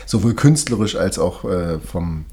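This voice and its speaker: Man podcast co-host, lower voice, hesitant, easygoing, casual. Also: expressive, a little slow, darkish tone. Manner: hesitant, many fillers, easygoing. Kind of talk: casual chat. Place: hotel room.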